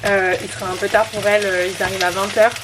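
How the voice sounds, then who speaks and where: Young woman, mid-pitched voice, chatty rambling vlog talk, handheld camera at home, faint background noise. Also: flat delivery, fast. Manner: chatty and rambling, many fillers and restarts. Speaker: family vlogger, mother of a newborn. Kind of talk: casual chat to camera. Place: at home, bedroom and kitchen.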